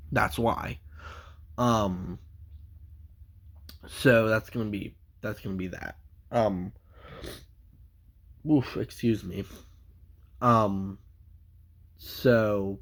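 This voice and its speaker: A man's voice: lowish voice, fairly even intonation, extremely slow, pauses often. A man with a stuffy nose from a cold, giving a casual, rambling comic book review full of fillers and restarts.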